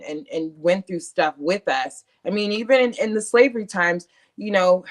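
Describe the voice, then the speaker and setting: A woman guest on a podcast, talking bluntly and emphatically in casual chat. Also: medium pitch.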